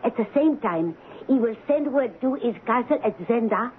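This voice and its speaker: A woman's voice: fairly high pitch, animated, normal speed, close to an everyday voice. Secretive, worried noblewoman played by a woman, urgent delivery, vintage radio drama with muffled sound and faint noise. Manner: urgent, earnest. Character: anxious, secretive noblewoman mistress warning of murder. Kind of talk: 1970s radio drama acting. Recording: thin, noisy old radio broadcast.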